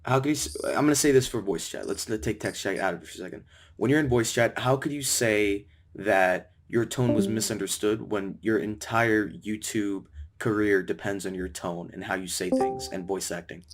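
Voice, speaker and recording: A man's voice: lowish voice, moderate pace, faint music behind. A man grilling someone with pointed, interrupting questions, openly frustrated. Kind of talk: confrontational questioning.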